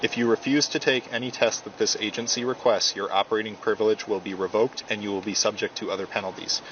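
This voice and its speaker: A low-pitched police officer talks steadily through a procedure at a quick clip, reading out legal text word for word. His voice comes through a muffled body camera with loud road noise behind it. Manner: calm, patient, reads forms verbatim. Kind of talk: procedural arrest talk.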